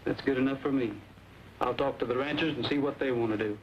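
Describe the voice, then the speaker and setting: An actor playing an earnest, upright cowboy hero in an old western film. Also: male voice, medium pitch, steady tone, quick pace, natural voice. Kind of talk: 1940s western film acting. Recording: muffled, noisy old film soundtrack.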